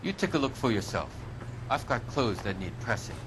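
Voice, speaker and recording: A man's voice: medium pitch, sing-song, moderate pace, lightly acted. An actor playing a busy, offhand male laundry worker in a video game. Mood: curt. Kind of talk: video game cutscene acting. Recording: clear ambient vehicle noise.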